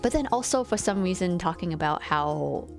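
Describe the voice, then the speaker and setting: A woman's voice: fairly low pitch, expressive, moderate pace, vocal fry. Lady streamer just rambling n teasing, casual game commentary, music going behind her. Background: game music and character voices.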